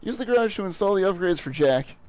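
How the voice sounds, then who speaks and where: A man's voice: high-pitched, lively intonation, fast talker, darkish tone, no room sound, low-quality recording. Joking, crude young man streaming a game. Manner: crude, joking, laughs a lot. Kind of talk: casual gameplay commentary.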